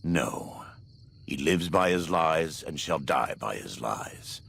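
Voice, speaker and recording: Very deep, gravelly righteous warrior preacher. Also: male voice, lively intonation, fairly bright tone, slight background noise, heavily acted. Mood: grave, measured. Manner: solemn, measured, preacherly. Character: devout, vengeful former warlord, grave and righteous man. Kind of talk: video game dramatic dialogue.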